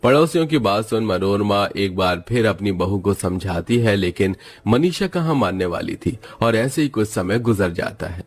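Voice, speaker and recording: A man serves as narrator with a low-pitched voice. He reads a scripted moral family story in storytelling style. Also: expressive, moderate pace, few pauses, soft background music.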